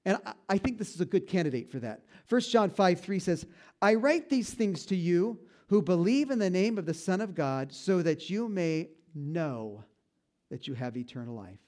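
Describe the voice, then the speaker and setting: Folksy pastor, man with a higher voice. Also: very animated, darkish tone. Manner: folksy, self-deprecating humor with frequent restarts; slows down and drops low for emphasis on key points. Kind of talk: conversational sermon.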